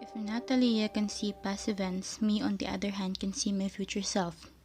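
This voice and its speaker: A woman's voice: medium pitch, little pitch movement, normal speed, a little mellow, lightly acted. A woman playing a confident female student in an amateur radio drama. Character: confident female student who sees her future. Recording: faint music behind voice.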